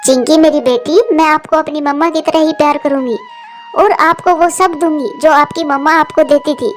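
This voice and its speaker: A woman voicing a gentle, loving mother bird with a patient, soothing manner in a cartoon story. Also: high voice, steady tone, moderate pace, faint music behind, lightly acted. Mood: tender, reassuring. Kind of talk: Hindi cartoon story acting.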